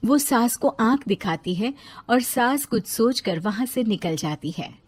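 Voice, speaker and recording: Female storyteller, high pitch, lively intonation, scripted family tale narration. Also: measured pace, husky.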